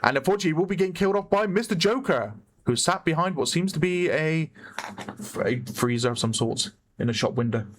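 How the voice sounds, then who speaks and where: A man with a hoarse voice giving chatty play-by-play commentary on a competitive online shooter match, often correcting himself. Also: fairly high pitch, lively intonation, fast, slight background noise. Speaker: esports caster. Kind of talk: live game commentary.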